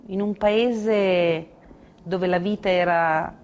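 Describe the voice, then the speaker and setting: A woman's voice: on the low side, fairly even intonation, takes it slowly, a little mellow, a little hiss or hum. An older woman giving spontaneous testimony, recalling her past. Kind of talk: spontaneous recollection.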